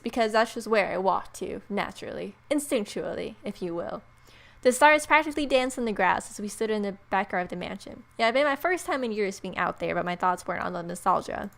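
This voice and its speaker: A woman's voice: medium pitch, vocal fry, a bit bright. A woman let's player narrating a visual novel aloud as she plays. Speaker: video game let's player.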